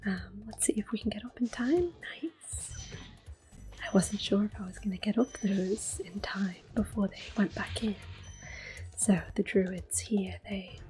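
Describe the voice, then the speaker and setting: A woman whispering close to the mic as she calmly plays through an old game and recalls it fondly. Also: mid-range voice. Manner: soft whispering, gently nostalgic. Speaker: ASMR gameplay host. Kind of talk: whispered ASMR game commentary. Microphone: close sensitive mic. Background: faint game music.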